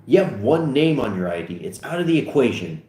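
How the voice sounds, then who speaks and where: A man's voice: medium pitch, sing-song, brisk, warm tone, close to an everyday voice. A man playing a brash, foul-mouthed, pushy teenage boy in a comedy screenplay read aloud. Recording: echoey room.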